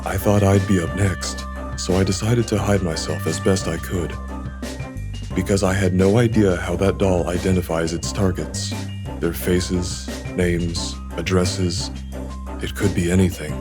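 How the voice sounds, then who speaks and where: Man acting deep-voiced, remorseful loner confessing. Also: steady tone, unhurried. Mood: somber confession. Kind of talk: visual novel English fan dub, dramatic dialogue. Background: music.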